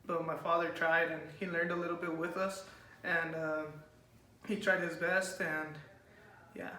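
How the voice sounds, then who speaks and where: A young man whose first language is Spanish gives hesitant, filler-laden answers in a high, bright voice that stays fairly flat in pitch. He is recorded from a distance in an echoey room with faint background noise. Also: a little slow. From United States. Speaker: interviewee. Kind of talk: casual interview answers.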